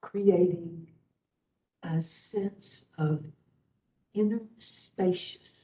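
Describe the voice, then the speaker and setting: A woman instructor leading a guided meditation in a soothing way, with long pauses between short phrases. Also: on the low side, dark tone. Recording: roomy echo, distant from the microphone.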